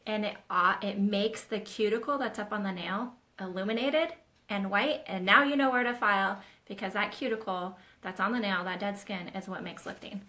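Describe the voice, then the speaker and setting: This is a woman hosting a live question-and-answer session for nail techs. Her voice is bright and forward, and she talks in a loose, chatty teaching style, explaining as she demonstrates on her own nails. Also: medium pitch. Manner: chatty, demonstrates while talking, addresses viewers as "you guys". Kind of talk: casual live-stream teaching.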